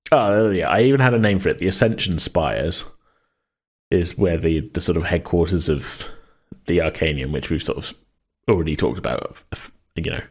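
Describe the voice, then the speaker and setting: A man co-hosting a casual worldbuilding chat, hesitant and rambling as he thinks out loud. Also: deep voice, moderate pace. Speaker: podcast co-host.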